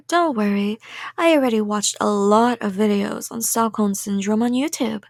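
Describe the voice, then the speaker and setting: High-voiced, sweetly menacing yandere girl, acted by a woman. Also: unhurried, gravelly, no room sound, studio quality. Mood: cheerfully obsessed. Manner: rambling, giggly, coy, threatening in a sweet tone. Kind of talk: intimate ASMR roleplay acting.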